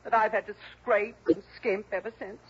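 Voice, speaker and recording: An actress playing an imperious, melodramatic middle-aged wife who is quick to take offence, in a 1960s radio drama. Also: female voice, medium pitch, steady tone, breathy edge. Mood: self-pitying. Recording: dull, lo-fi old radio recording.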